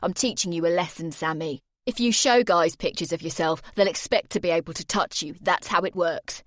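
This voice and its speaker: A woman's voice: lowish voice, fairly quick, no room sound, studio quality, voice pushed down, lightly acted. A woman reading aloud and voicing a cruel, bullying teenage boy with a cold, sneering delivery.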